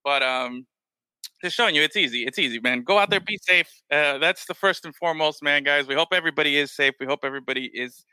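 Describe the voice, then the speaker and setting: A man's voice: on the high side, fast talker, bright, forceful tone, clean tone, no room sound, low-quality recording. A man hosting a casual podcast, talking loosely and peppering his talk with "man" and "bro". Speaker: podcast host. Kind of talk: casual chat.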